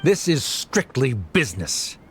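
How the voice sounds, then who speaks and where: A man's voice: medium pitch, very animated, unhurried, faint music behind. A man playing a cold, haughty middle-aged bureaucrat and stern father in a video game, formal and condescending.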